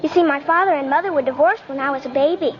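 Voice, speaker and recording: A very high-pitched little girl acted by a female performer on a muffled old radio recording. She is precocious and skeptical, stating things bluntly and literally in the style of a 1950s radio play. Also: fast, natural voice. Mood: matter-of-fact. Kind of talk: 1950s radio drama acting.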